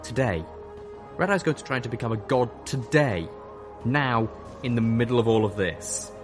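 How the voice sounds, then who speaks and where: A man reading a fan fiction audiobook aloud, narrating in a steady first-person manner. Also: mid-range voice, moderate pace. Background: music.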